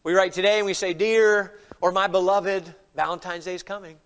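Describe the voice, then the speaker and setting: High-voiced stuffy preacher, emphatic. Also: male voice, fairly even intonation, brisk, a bit bright, clean tone. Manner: emphatic preaching with frequent "all right?" asides. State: stuffy, slight cold. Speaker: pastor. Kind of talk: sermon.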